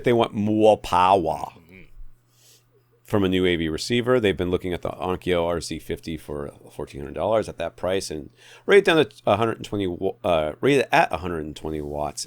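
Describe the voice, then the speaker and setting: A man's voice: deep voice, very animated, brisk, no room sound. A man co-hosting a podcast, chatting casually and informally as he works through listener questions. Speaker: podcast co-host.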